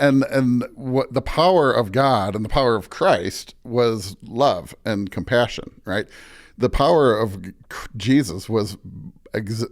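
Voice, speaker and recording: A man speaking as a pastor and podcast guest, conversational but preaching, emphatic and often checking in with "right?". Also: on the low side.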